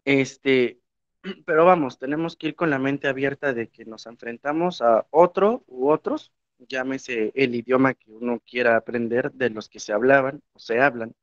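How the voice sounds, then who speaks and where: A man's voice: medium pitch, moderate pace, dry recording. A young Mexican man, a historian and Nahuatl teacher, speaking reflectively in a panel talk with many filler words. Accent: Mexican Spanish. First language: Spanish. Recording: dry, muffled lo-fi sound.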